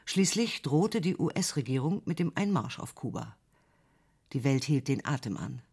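A man reading a history documentary script in a clear, composed way. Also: mid-range voice, sing-song, normal speed, a little mellow. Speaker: documentary narrator.

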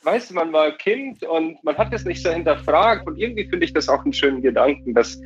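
A man's voice: a little high, animated, brisk. A young man chatting casually as a guest, speaking in an emphatic, slangy way. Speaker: interview guest on a call. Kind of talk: casual chat. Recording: dull, lo-fi call audio.

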